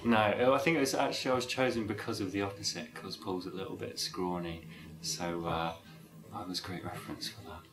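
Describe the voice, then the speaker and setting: Lowish-voiced man, echoey room, music behind. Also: steady tone, brisk. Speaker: match mover on an animated film. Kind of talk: casual interview. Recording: roomy echo under clear music.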